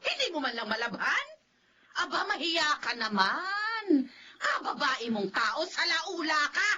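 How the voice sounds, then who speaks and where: A woman's voice: high voice, big pitch swings, unhurried, pushed and bright, full character voice. A woman playing a harsh, nagging old Filipino grandmother in a melodrama, scolding sarcastically. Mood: sarcastic, indignant. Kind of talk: melodramatic radio-style drama acting. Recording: dull lo-fi audio with noise.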